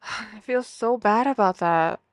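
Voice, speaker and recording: A woman's voice: medium pitch, measured pace, no echo, clean studio sound, lightly acted. Bubbly, rambling young-hearted woman on a date, acted. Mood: flustered, friendly. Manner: chatty, rambling, giggly, hesitant. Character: bubbly, playful, awkward woman at speed dating. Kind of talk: ASMR roleplay, natural conversational acting to the listener.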